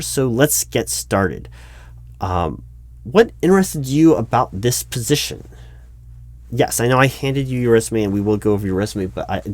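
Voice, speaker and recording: A man playing an earnest, slightly flustered recruiting manager in an interview roleplay, speaking in wordy, halting corporate jargon. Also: mid-range voice, dry recording, close to an everyday voice. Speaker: roleplay performer. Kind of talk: one-sided interview roleplay, soft-edged office talk.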